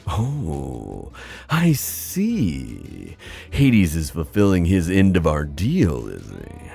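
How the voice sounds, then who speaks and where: A deep-voiced, gravelly god of the sea, acted by a man for a fantasy ASMR roleplay. He is playful and scheming, toying with his listener in a theatrical, teasing way. His unhurried lines swing dramatically up and down. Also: wildly swooping pitch, warm tone, faint music behind, heavily acted. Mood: musing, condescending. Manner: theatrical, teasing, drawn-out musing with chuckles.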